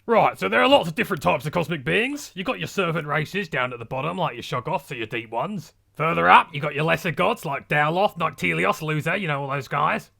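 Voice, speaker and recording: A man's voice: on the high side, lively intonation, a little fast, gravelly, few pauses, bright, forceful tone, heavily acted. A British man playing a middle-aged, veteran cosmic monster hunter in a comedy cartoon, blunt, bossy and sweary, bragging casually as if to a documentary camera. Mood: matter-of-fact. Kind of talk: mockumentary comedy voice acting, talking to camera.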